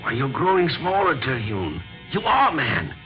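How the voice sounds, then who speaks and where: An actor playing an earnest, questioning doctor in an old-time radio drama, expressive and formal. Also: male voice, medium pitch, unhurried. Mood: astonished. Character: questioning male doctor, earnest, astonished colleague. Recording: dull lo-fi old radio recording, music.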